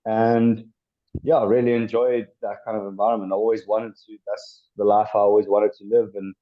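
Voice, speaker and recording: A South African man in his twenties talks through his past as a guest on a podcast. His voice sits on the low side and comes through a muffled, lo-fi recording. He hesitates a lot, filling gaps and restarting sentences. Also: flat delivery, normal speed. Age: 20s. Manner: hesitant, many ums and restarts. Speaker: podcast guest, former rugby player. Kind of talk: casual interview chat. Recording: dull lo-fi sound.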